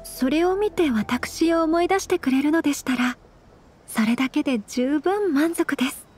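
Casting: woman as refined young lady for an anime game, high-pitched, formal, gentle and composed. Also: normal speed, soft background music, lightly acted. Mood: gracious. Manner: very formal honorific speech, composed and courteous. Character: polite, refined, gentle young noblewoman of fantasy Japan. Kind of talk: Japanese anime game voice acting.

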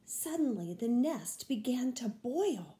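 Older woman reading slowly to children. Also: fairly high pitch, big pitch swings, dark tone, echoey room. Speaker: read-aloud storyteller. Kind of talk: children's picture-book reading. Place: small room at home, indoors.

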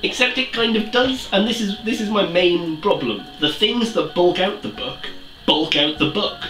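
Animated Welsh man in an echoey room. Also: high-pitched, moderate pace, distant mic, faint music behind. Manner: animated, chatty, self-mocking. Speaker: book vlogger. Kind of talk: casual book review chat. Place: small room with bookshelves, indoors. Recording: roomy echo, mic set back.

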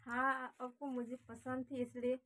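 A woman's voice: high voice, flat delivery, a little slow, a bit bright. A young adult woman with an Indian accent, talking casually on a phone call from a small room at home. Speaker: prank caller.

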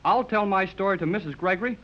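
A male actor playing a brash, excitable and indignant teenage boy in 1930s radio drama style. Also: high-pitched, fast, close to an everyday voice. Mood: earnest, indignant. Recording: dull 1930s radio recording.

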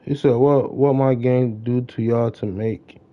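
A man's voice: mid-range voice, steady tone, a little slow. Young American male game streamer, slangy, repetitive casual chat, mid-range voice, dull lo-fi mic. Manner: slangy, repetitive greetings to viewers. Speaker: livestreamer. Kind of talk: casual gaming livestream chat.

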